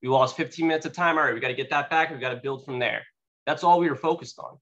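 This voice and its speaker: A man's voice: medium pitch, fast, fairly bright tone. A young man gives a motivational speech at a sales meeting, talking casually with many fillers and sounding a little sniffly from allergies. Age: young adult. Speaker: guest speaker at a sales meeting. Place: meeting room. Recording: dull lo-fi recording.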